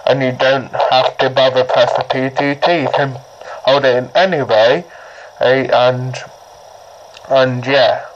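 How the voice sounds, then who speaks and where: The unhurried, stop-and-start voice of someone of unclear sex demonstrating a gadget in a homemade tutorial video, with a little noise behind. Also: mid-range voice, fairly even intonation, muffled recording. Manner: halting, repetitive, restarts sentences often. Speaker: presenter of a gadget how-to. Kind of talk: informal unscripted demonstration. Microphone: camera or tablet propped nearby. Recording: noisy amateur recording with clicks.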